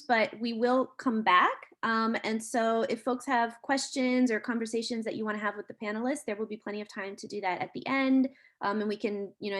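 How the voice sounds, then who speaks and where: A woman with a slightly high voice moderates an online panel over a video call. She gives organized, practical instructions to the group. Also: fairly bright tone. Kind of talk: event moderation.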